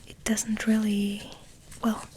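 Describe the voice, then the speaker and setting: A woman hosting ASMR, rambling in a soft, breathy, hesitant whisper. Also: mid-range voice, completely flat delivery, slow, slight background noise, low-quality recording. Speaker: ASMR video host.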